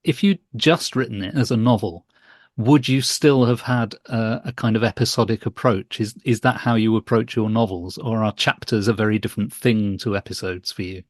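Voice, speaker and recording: A man's voice: fairly low pitch, steady tone, moderate pace, dry recording, studio quality. A man co-hosting a podcast, putting questions to a guest in a casual, conversational style. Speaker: podcast co-host.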